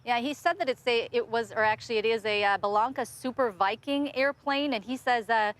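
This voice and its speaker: High-voiced American woman reporting live. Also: fairly quick, a bit bright. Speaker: field news reporter. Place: outdoors on a residential street.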